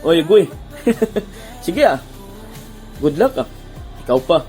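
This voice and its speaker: A woman's voice: lowish voice, very animated, slow pace, over loud music. A woman acting a lovesick part in an amateur audio drama, playful and teasing, then heartbroken and pleading.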